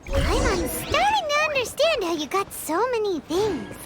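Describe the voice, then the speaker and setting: A woman voicing a tiny, excitable floating fairy companion in a squeaky childlike game-character voice. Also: measured pace, noisy background, heavily acted. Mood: curious. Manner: refers to self in third person, exclaiming.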